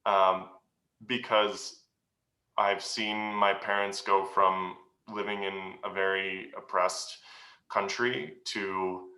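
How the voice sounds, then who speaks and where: Man, guest speaker, reflective, halting, sharp bright tone, echoey room. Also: low-pitched, level tone. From United States. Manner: reflective, earnest, halting with many pauses. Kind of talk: answering questions at a meeting. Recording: roomy echo.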